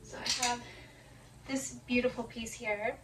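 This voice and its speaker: A woman with a hoarse, recovering voice chats casually about her sewing in a soft, rambling way, full of "like" and "um". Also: fairly high pitch, little pitch movement, unhurried, distant mic, poor audio. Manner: soft-spoken, rambling, many "like" and "um" fillers. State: hoarse, voice still recovering from laryngitis. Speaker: sewing vlogger. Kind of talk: casual chat to camera. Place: home sewing room. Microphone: phone camera.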